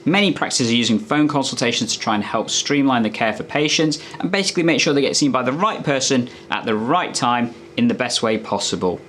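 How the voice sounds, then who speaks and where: A man's voice: medium pitch, animated, fairly quick, few pauses, a bit bright, slight background noise. A man presenting health tips as a doctor, explaining in a conversational, instructive way to viewers.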